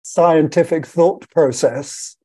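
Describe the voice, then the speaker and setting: An older man interviewing on a video call from a room at home, speaking in eager, quick bursts with repeated words. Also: fairly high pitch, warm tone, husky. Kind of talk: conversational interview.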